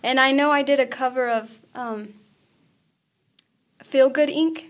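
Soft-spoken young woman, high voice. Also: fairly even intonation, a little slow. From New Mexico, US. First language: Spanish. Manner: soft-spoken, hesitant, many ums. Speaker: guest singer-songwriter. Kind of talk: casual interview answers and singing with ukulele. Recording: podcast audio with ukulele backing.

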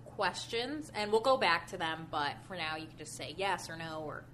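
A woman's voice: lowish voice, lively intonation, normal speed, bright, forceful tone, slight background noise. A woman hosting a podcast, talking in a playful, easygoing way. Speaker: podcast host. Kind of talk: casual chat.

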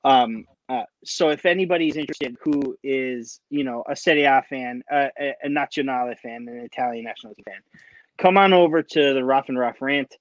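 A man's voice: medium pitch, no room sound. Thirties guy guesting on a podcast, trips over his words a lot, dry muffled mic. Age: 30s. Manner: animated, stammering restarts, quick to laugh. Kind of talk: casual podcast chat.

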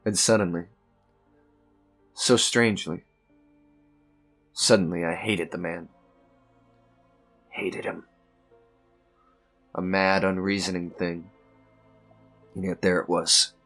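A tormented, theatrical man, slow and low. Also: fairly even intonation, fairly bright tone, soft background music, heavily acted. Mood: haunted, bitter. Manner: intense, halting, theatrical, repeating phrases. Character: tormented, unhinged man recounting his own doom. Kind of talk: old radio suspense drama narration.

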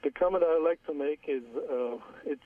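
A man's voice: a little high, a little hiss or hum. Hesitant Iranian-Armenian man on a phone line. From Iran. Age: 40s. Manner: hesitant, halting, repeats words. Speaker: caller. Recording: distant husky phone line.

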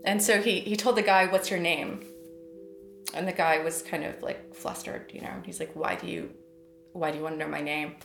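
A woman's voice: lowish voice, fairly even intonation, normal speed, gravelly, fairly bright tone, roomy sound, slight background noise. A woman in her thirties, a poet, talking thoughtfully and hesitantly about her life, often restarting her sentences. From United States. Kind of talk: reflective personal interview.

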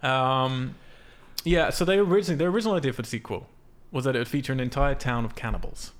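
A man's voice: mid-range voice, quick pace. A man hosting a casual film podcast, explaining things in an informal, sweary way. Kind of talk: casual film discussion from notes.

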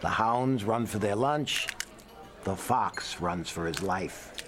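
A man's voice: fairly low pitch, expressive, takes it slowly, over loud music. An actor playing a witty middle-aged father with literary flair in a radio drama, speaking in a grandiloquent, mock-formal way. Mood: wry.

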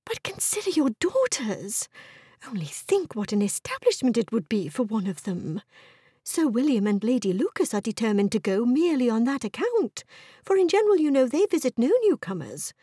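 A woman voicing a fussy, excitable, scheming middle-aged mother in a period novel audiobook, with a fluttery, gushing, exclaiming manner. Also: on the high side, moderate pace, husky, no echo, clean studio sound. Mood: pleading, insistent.

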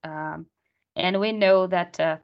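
A woman's voice: fairly low pitch, steady tone, takes it slowly, gravelly. A woman, a podcast guest explaining her product work plainly. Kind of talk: casual panel chat. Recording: dull lo-fi call audio.

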